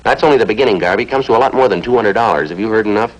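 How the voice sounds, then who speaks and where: Actor as a fast, clipped, low-voiced police sergeant. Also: male voice, natural voice, poor audio. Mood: firm, relentless. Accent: American. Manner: clipped, matter-of-fact, deadpan. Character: stern, methodical male police sergeant interrogating a suspect. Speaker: actor in a television police drama. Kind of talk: 1960s TV police procedural acting.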